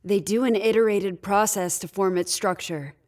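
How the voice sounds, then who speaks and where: A woman's voice: mid-range voice, steady tone, moderate pace, a little mellow, close to an everyday voice. A woman playing a sardonic, authoritative leader in a sci-fi audio drama, with a dry, commanding manner. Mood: dryly sardonic.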